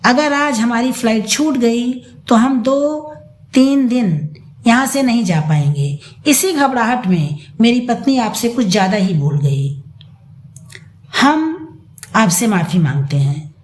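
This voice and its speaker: Smooth, ingratiating young husband voiced by a woman. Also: a little high, animated, slow pace. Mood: flattering apology. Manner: ingratiating, soft-soaping.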